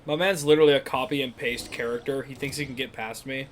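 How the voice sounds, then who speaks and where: A man's voice: medium pitch, fairly even intonation, fast, background noise. A man giving casual, slangy gameplay commentary with wry reactions. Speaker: gaming streamer. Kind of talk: casual live game commentary.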